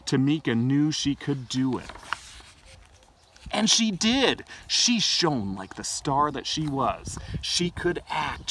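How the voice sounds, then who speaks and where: A man's voice: medium pitch, a bit bright. Storytime man, slightly distant outdoor recording. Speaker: library storytime host. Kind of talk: expressive picture-book reading for children. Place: outdoors in a garden. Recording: distant mic, faint outdoor noise.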